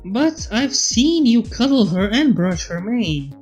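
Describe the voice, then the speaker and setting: A man's voice: very high voice, very animated, slow, darkish tone, soft background music, voice pushed up, exaggerated voice. A man voicing a wry, needling robot scientist with a probing manner in a fan fiction reading.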